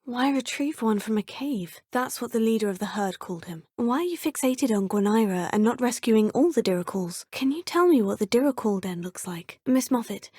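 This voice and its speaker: Polite young witch student, breathy, slightly high, dry studio sound. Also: expressive, moderate pace, hardly any pauses, dark tone, dry recording. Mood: curious polite. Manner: polite, formal, inquisitive. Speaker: player character. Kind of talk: video game dialogue lines.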